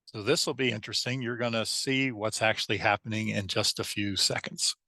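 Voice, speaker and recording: A man walks through slides in a webinar, his voice hoarse as if he is losing it. He sits fairly low in pitch but sounds bright and pushed, with lots of restarts. The sound is dry and close, with no room in it. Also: fairly even intonation, no room sound. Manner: halting, with frequent restarts and fillers. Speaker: presenter of a lending webinar.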